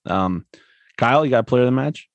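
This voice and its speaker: A man of middle pitch co-hosts a relaxed sports podcast, chatting easily and laughing often. His voice sits close and dry, with little room sound around it. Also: a little fast, no room sound, lo-fi sound. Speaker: podcast co-host. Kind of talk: casual sports chat.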